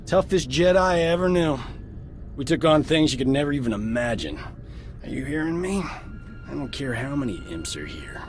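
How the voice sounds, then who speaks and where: An actor playing a brash, cocky doctor in a video game, breezy, boastful and excitable. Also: male voice, mid-range voice, moderate pace, lightly acted. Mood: excited, boastful. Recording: background music under the voice.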